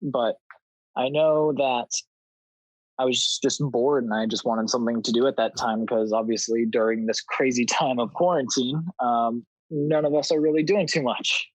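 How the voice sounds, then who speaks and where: A man's voice: medium pitch, fairly even intonation, normal speed, no room sound, poor audio. Young man chatting, dry close sound. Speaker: podcast guest, audio drama writer. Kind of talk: casual chat, anecdote.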